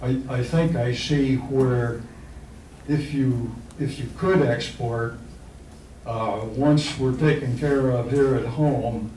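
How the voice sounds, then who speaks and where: Slow hesitant guy at a meeting, keeps restarting, echoey room far away. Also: mid-range voice, steady tone, dark tone, slight background noise. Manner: halting, hesitant, many restarts. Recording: echoey hall, far mic.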